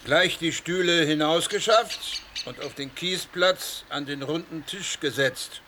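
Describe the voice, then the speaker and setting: A man's voice: on the high side, a little slow, sharp tone, a little hiss or hum. An actor playing a proud, domineering surgeon in a radio play, lecturing his guests in a pompous way.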